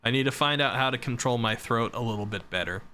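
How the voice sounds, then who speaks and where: A young man streaming live, chatting casually about himself with a rambling, self-deprecating manner, his voice starting to go hoarse from talking so long. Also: mid-range voice, fast talker, pushed and bright, a little hiss or hum. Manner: rambling and self-deprecating, reading chat and laughing.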